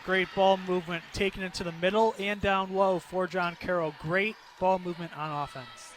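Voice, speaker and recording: A man working as a radio color commentator, analysing a live basketball game in a conversational, somewhat halting way. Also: high-pitched, fairly even intonation, a little hiss or hum. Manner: halting, heavy with ums and uhs. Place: indoor basketball arena.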